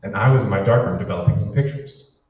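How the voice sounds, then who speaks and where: Man acting a furtive low-voiced conspirator, distant and echoey. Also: quick pace, close to an everyday voice. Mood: urgent, conspiratorial. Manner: hesitant, stammering, secretive. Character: furtive, anxious man confiding a secret conspiracy. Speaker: amateur stage actor. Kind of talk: old-time radio drama acting. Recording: roomy, distant, dull hall recording with hiss.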